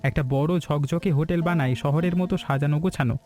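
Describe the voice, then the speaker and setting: A man's voice: on the high side, fairly even intonation, fast, a little mellow, natural voice. A man playing an eager, ambitious village business partner in a cartoon story, persuasive and respectful. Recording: background music throughout.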